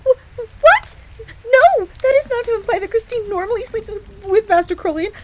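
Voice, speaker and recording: A woman's voice: very high voice, moderate pace. A woman playing a loyal, easily flustered tsundere fox maid in an audio roleplay, speaking formally and stiffly and referring to herself in the third person. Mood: flustered, defensive. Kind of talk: scripted audio roleplay acting. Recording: steady rain noise in background. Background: rain ambience.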